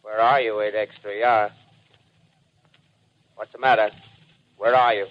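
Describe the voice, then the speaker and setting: Actor as flat-voiced lone 1930s radio operator. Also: male voice, on the low side, natural voice. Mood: lonely, searching. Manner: flat, repetitive, measured calling. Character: lone male radio operator calling into the void. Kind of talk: 1930s radio acting, shortwave call signs. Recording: dull, muffled 1930s radio recording.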